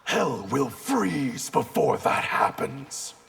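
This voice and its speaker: An actor playing a cold, defiant ninja clan leader in a video game, haughty and menacing. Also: male voice, mid-range voice, big pitch swings, slow, gravelly, heavy background noise, heavily acted. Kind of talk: video game character dialogue.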